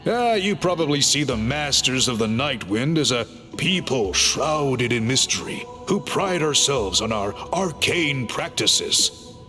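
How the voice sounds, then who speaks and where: Calm formal chief guy, explains things politely, bright lively voice, faint music. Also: medium pitch, unhurried. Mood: thoughtful. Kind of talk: game cutscene voice acting.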